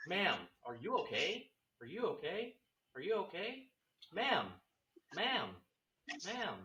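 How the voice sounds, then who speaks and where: A woman's voice: mid-range voice, very animated, slow, bright, forceful tone, exaggerated voice. A woman playing an anxious, worried passerby in a script table read, questioning urgently. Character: worried passerby who found an injured woman. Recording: echoey room, distant from the mic.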